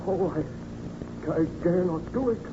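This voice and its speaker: A troubled baron, earnest n formal, higher voice, muffled hissy old radio play. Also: male voice, takes it slowly. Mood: anguished. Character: troubled, remorseful baron, the monster's creator. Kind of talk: old-time radio drama acting.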